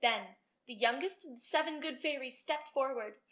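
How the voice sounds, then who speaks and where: Woman, children's storyteller, high pitch, bright tone, expressive read-aloud narration. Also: normal speed.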